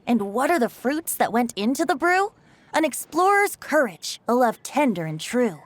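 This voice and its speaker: An actor voicing a playful young bard who speaks in a lilting, singsong way in a game. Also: male voice, very high voice, very animated, moderate pace. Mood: singing verse. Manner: lilting, singsong, theatrical sighs. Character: playful young male bard, carefree and teasing.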